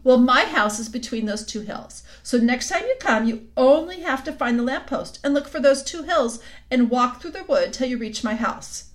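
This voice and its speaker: A woman's voice: sits high, expressive, normal speed, few pauses, voice pushed up. A woman voicing a scheming queen who coaxes a boy with sweet, sly promises. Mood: coaxing. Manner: coaxing, silky, suddenly sharp. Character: sweetly scheming cold queen, tall and commanding.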